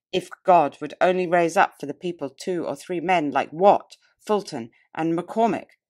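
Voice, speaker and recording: A woman narrating a nonfiction audiobook as scripted reading, in a deliberate, emphatic, oratorical way. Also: on the low side. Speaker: audiobook reader. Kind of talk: scripted narration of a public-speaking textbook.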